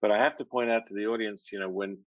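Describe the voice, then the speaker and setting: A man with a lowish voice hosts an interview podcast and wanders a little, restarting his sentences as he frames his questions. The sound is dry and a bit dull, as if recorded over a call. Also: steady tone, quick pace, no room sound. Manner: rambling, hesitant, friendly. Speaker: podcast host. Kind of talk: conversational interview.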